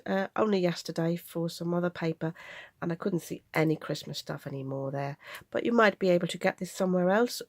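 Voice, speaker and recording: Nasal, gravelly-voiced woman with a head cold. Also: mid-range voice, a little mellow, no echo, studio quality. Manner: chatty, practical, explains measurements step by step. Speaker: craft channel host. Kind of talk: card-making tutorial. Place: home craft table.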